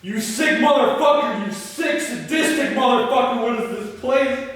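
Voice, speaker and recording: A man playing a terrified, furious captive in a horror script, shouting desperately at his captor. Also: very high-pitched, moderate pace, pitched above the performer's own voice. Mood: desperate fury. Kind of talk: horror film table read. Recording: echoey hall, distant mic, faint noise.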